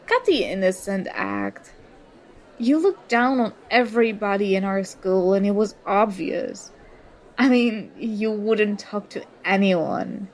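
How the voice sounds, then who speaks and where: A woman's voice: medium pitch, sing-song, takes it slowly, a little mellow, low-quality recording. A woman acting an indignant, sarcastic young student confronting a former school enemy in an audio roleplay. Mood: bitter, sarcastic. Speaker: ASMR roleplay performer. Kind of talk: ASMR roleplay acting, one side of a dialogue.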